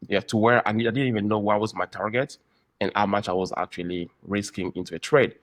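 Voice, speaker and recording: A man hosting a casual podcast interview, speaking in halting, deliberate phrases. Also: fairly low pitch, dry recording. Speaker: podcast host, trader.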